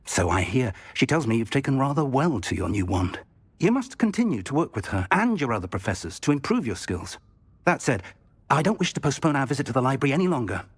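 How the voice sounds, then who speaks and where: An actor playing an older wizard professor, a kindly mentor with a husky, measured voice. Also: male voice, medium pitch, big pitch swings. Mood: earnest. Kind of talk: game cutscene acting.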